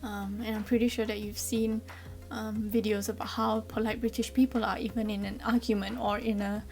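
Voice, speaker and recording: A woman's voice: mid-range voice, flat delivery, normal speed, a little mellow, soft background music. A woman in her twenties from Malaysia with a Malaysian accent, explaining things in a chatty, friendly way with frequent ums. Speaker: vlogger. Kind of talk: casual explainer vlog.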